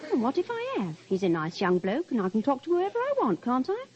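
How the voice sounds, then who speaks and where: A very swoopy barmaid. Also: female voice, high voice, wildly swooping pitch, darkish tone. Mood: defensive, indignant. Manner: brisk, colloquial, saucy. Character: brisk, defensive working-class English pub barmaid. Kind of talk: old-time radio drama acting. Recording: dull old radio recording.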